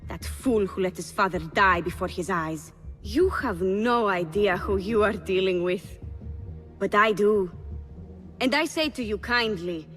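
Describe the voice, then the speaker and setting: A cool, defiant henchwoman played by an actress in a game drama. She taunts slowly at a medium pitch, every phrase deliberate. Her voice trails long reverb. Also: fairly bright tone, faint music behind, natural voice. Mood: cold warning. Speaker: actor in a video game. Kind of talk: dramatic scripted dialogue.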